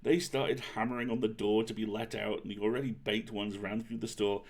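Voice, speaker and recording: A man reading as a young girl baker and wizard, chatty and self-deprecating, in an expressive confiding storybook manner. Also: lowish voice, fairly quick. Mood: wry, chatty.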